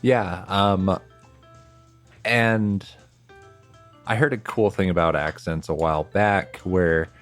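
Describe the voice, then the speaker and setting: A podcast co-host with a very deep voice sharing a roleplay tip in an easygoing way. Faint music sits behind the talk. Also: fairly even intonation, takes it slowly, long pauses. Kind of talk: casual roleplay podcast discussion.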